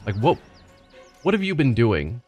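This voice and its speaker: A man's voice: mid-range voice, wildly swooping pitch, measured pace, darkish tone, soft background music. A man hosting a let's play, thinking aloud hesitantly in casual commentary as he plays.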